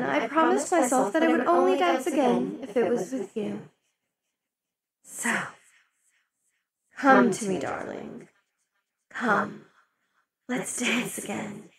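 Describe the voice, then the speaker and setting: Woman playing a possessive demon queen: unhurried, velvety, slipping into whispers, over a loud party crowd. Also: on the high side. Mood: silky, possessive. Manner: slow, velvety, dips into whispers. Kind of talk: ASMR roleplay. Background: crowd chatter of a party.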